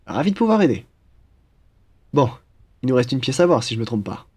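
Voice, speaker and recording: Guy acting as upbeat young student roommate, cheeky n slangy, super animated pitch. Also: fairly quick, breathy edge. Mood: casual, friendly. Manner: playful, slangy, enthusiastic. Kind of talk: casual French roleplay acting.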